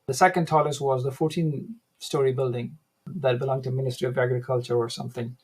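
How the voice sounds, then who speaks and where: Husky-voiced man, measured and reflective. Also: medium pitch, steady tone, darkish tone, low-quality recording. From Afghanistan. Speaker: guest, academic center director. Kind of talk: interview, personal recollection.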